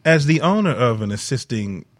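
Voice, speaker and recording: A man's voice: mid-range voice, big pitch swings, normal speed, no echo. A man from the Bronx co-hosting a casual radio talk show, playfully self-assured. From the Bronx, New York. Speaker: radio co-host. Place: radio studio.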